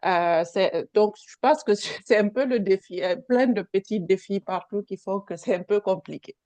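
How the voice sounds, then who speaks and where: A woman's voice: medium pitch, moderate pace, a little mellow, no echo. A mother whose first language is neither French nor English, sharing her experience in an online workshop in a reflective, hesitant way. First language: a language other than French or English. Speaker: parent participant. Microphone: video call.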